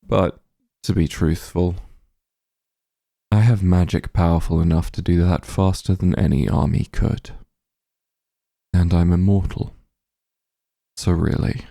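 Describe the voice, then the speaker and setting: A man playing a possessive, doting immortal demon lord in an intimate ASMR roleplay, teasing and smug, speaking softly in a gravelly, breathy voice. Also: low voice, dry recording, studio quality, exaggerated voice. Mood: bored, boastful. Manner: slow, soft, teasing coaxing.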